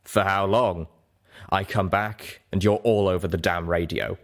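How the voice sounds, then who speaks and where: A man voicing a weary, grim pony merchant and father in an audiobook, speaking slowly and deliberately with bitter candour. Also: low-pitched, fairly even intonation. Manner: slow, deliberate, bitterly confessional. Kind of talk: audiobook character voice.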